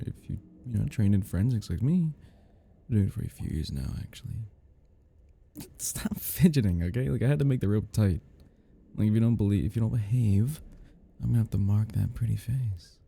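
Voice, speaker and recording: A man playing an obsessed, giddy and menacing crime scene cleaner in an intimate audio roleplay, rambling in a husky voice that drops into whispers. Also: on the low side, dark tone, full character voice. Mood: giddy, chatty, then taunting, menacing. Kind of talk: intimate ASMR-style dramatic roleplay.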